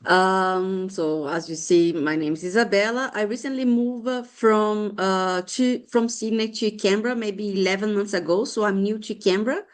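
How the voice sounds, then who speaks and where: Brazilian-accented woman, mid-pitch, a lecturer introducing herself on a video call, hesitant and searching for words. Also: fairly even intonation, normal speed, few pauses, clean tone. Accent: Brazilian Portuguese. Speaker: lecturer and former peacekeeper.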